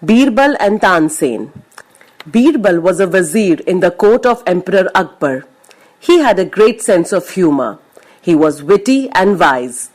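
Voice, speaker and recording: A woman reading a children's story aloud in an expressive, scripted narrating style. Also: fairly low pitch. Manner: expressive read-aloud delivery.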